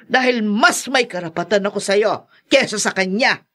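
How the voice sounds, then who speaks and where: A woman's voice: mid-range voice, normal speed, a bit bright, close to an everyday voice. An actress playing a possessive, domineering older mother in a radio drama, imperious and theatrical. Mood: possessive, cold. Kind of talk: Filipino radio drama acting.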